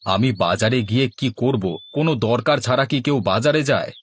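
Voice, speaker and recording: A man's voice: mid-range voice, moderate pace, slight background noise, lightly acted. A man voicing a henpecked village husband in a cartoon, placating and grumbling with dry jibes. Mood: exasperated, resigned. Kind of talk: Bangla cartoon voice acting.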